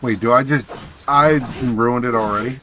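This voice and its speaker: A man's voice: medium pitch, moderate pace, pushed and bright, rough recording. A man casually commentating on a game live, playfully talking to himself. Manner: talks to self, playful. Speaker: game streamer. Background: game music.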